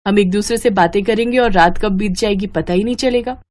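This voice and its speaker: A woman narrator acts out a devoted young wife in a cartoon family story. She sounds gentle and uncomplaining, with a cheerful, reassuring manner and mid-range pitch. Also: brisk, lightly acted.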